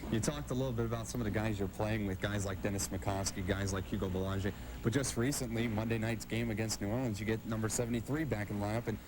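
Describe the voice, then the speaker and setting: Fast-talking, low-pitched male sports host. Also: steady tone, noisy background. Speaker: TV show host and hockey play-by-play announcer. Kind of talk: sports interview hosting.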